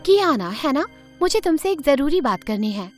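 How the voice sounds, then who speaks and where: A woman voicing a scheming, popular teenage girl who talks in a pleading, persuasive way in an animated story. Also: high-pitched, normal speed, faint music behind.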